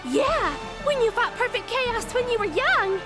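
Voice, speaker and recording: Tiny, piping cartoon critter kid. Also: female voice, very high voice, animated, a little slow. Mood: excited. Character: eager small cartoon animal child, pleading, excitable. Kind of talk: animated comic dub voice acting. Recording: loud music behind the voice.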